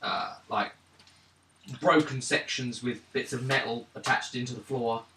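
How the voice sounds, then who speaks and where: A man running a tabletop role-playing game as game master, narrating scenes haltingly with pauses as he describes them. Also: mid-range voice, bright, forceful tone. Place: indoor room around a gaming table. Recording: distant mic, echoey room, background noise.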